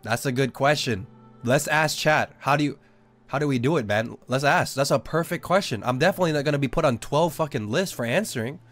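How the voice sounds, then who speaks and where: A man's voice: mid-range voice, brisk, a bit bright. A young Australian-born man with a Southern Californian accent, casually chatting and joking with viewers while playing a game. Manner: joking, swears freely, reads chat aloud. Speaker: streamer. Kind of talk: casual gaming livestream chat.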